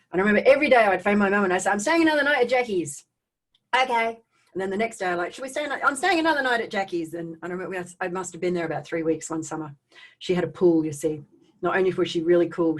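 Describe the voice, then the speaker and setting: A middle-aged woman with an Australian accent giving a personal talk to online viewers in a conversational way, with animated asides and checking in with her listeners. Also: on the low side. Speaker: speaker hosting a live online talk on trauma recovery.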